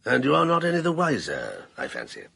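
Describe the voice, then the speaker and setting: Persuasive banker, husky and gravelly, lively pitch, dry sound. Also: male voice, a little fast, no echo, exaggerated voice. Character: middle-aged male banker, smooth and persuasive. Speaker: narrator and character. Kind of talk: radio drama.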